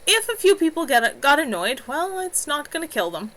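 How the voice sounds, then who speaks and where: A brash young male werewolf who says exactly what he thinks, as a woman reads him. Blunt and a little scornful, with a bright, lively voice. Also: sits high, normal speed, pitched above the performer's own voice.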